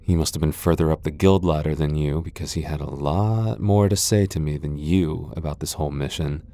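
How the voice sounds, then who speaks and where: A proud vampire lord acted out by a man speaks in a deep, dark-toned voice. He is sardonic and defiant, with a dry, sighing delivery suited to a fantasy ASMR roleplay. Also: a little fast, dry recording. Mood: dry, disdainful. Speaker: voice actor in a roleplay audio.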